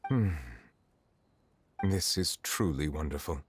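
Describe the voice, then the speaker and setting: Very deep, solemn chief justice. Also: male voice, lively intonation, loud music behind the voice, heavily acted. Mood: grave. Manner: formal, measured diction. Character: dignified, solemn chief justice, male, measured and reserved. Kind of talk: video game voice acting.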